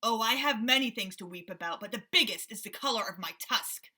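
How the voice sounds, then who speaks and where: A woman voicing a grumpy, self-pitying old male rhinoceros, loud and complaining, in a storybook reading. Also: on the high side, brisk. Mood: sorrowful.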